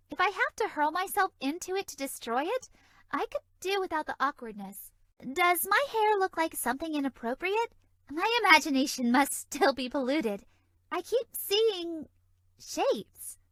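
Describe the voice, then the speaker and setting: A sweet, naive fairy for a video game. Her voice is extremely high and airy, with animated, bouncy melody. She sounds innocently flirty, in a very dry recording. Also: no echo, full character voice. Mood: sheepish, confiding. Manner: bubbly, innocently suggestive, airy. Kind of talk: video game character dialogue.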